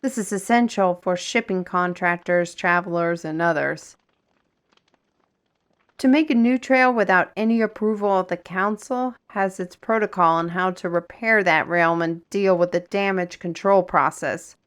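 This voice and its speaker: A woman's voice: on the low side, a little slow, smooth, lightly acted. A woman playing a wry, businesslike colleague in a fantasy audio drama, speaking in a dry, explanatory manner. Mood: matter-of-fact explaining.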